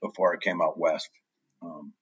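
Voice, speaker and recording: A man in his forties talks in a very low, deep voice, recorded dry and close. He rambles through his story as an interview guest, filling gaps with ums. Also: flat delivery, normal speed, a bit bright, breathy edge, no room sound, dull audio. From New Hampshire. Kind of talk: casual interview chat.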